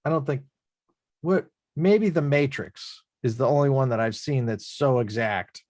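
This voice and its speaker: A man's voice: medium pitch, unhurried, a little mellow, no room sound, studio quality. A man chatting casually on a video call, laughing easily and reflecting on the film. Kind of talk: casual online chat.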